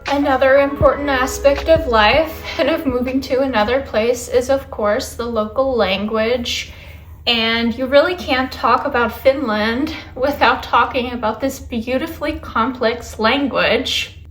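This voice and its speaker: A woman's voice: sits high, steady tone, a little slow, a bit bright. A young woman vlogging to camera in a casual, chatty Q&A, speaking English that is not her first language, reflective and easygoing. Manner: chatty, reflective, with little laughs. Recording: echoey room.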